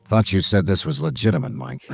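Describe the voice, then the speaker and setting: An actor playing a cool, businesslike American insurance investigator in a 1950s radio drama, with clipped, dry, understated delivery. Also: male voice, extremely low pitch, animated, brisk, gravelly, husky, exaggerated voice. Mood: wary, dry. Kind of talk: 1950s radio drama acting, hard-boiled narration. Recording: vintage radio recording with music.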